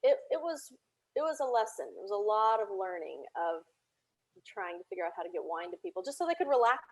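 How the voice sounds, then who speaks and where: A woman from Tennessee speaking as an interview guest, telling anecdotes in a chatty, conversational and animated way. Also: mid-range voice, fast talker, poor audio. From Tennessee, United States. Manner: chatty, animated anecdotes with laughter and reenacted dialogue. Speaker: interview guest, audio drama creator.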